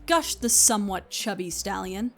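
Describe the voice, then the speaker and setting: A woman's voice: medium pitch, lively intonation, moderate pace, a bit bright. A woman reading scripted story narration as the narrator of an audio play. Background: music.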